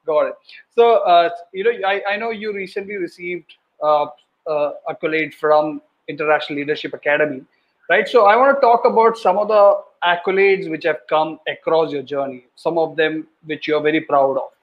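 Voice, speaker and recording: Higher-voiced male interviewer, muffled. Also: moderate pace. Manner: friendly, prompting with fillers. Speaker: interview host. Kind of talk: casual interview questions. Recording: dull, muffled audio.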